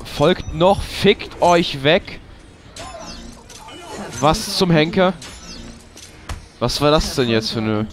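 A young man, giving casual gameplay commentary, swearing and ranting when he loses. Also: mid-range voice. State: has a cold. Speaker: let's play host.

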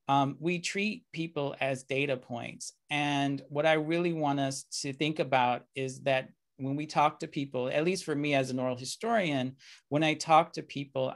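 A middle-aged man giving a keynote lecture over a video call, speaking in a measured, reflective way with frequent "um" and "you know" fillers. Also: mid-range voice. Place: indoor room, video call.

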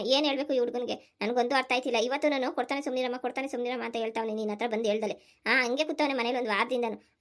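Village mother for cartoon family comedy, played by a woman: high voice, very fast talker, sarcastic nag, exasperated. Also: close to an everyday voice. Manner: nagging, sarcastic, rapid-fire complaining. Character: scolding, exasperated village mother with a grown son.

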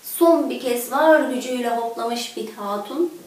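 A woman in her thirties telling a children's fairy tale expressively, with dramatic pauses and rhythmic repetition. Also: on the high side, darkish tone, a little hiss or hum. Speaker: children's storyteller. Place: living room, indoors, seated on a sofa. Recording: echoey room, distant microphone.

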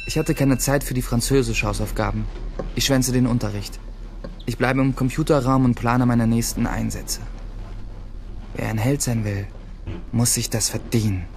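An actor playing a secretive, restless teenage schoolboy, narrating in clipped sentences in a radio play. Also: male voice, medium pitch, steady tone, moderate pace, background noise, lightly acted. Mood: guarded, troubled. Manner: terse, clipped. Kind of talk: first-person radio drama narration.

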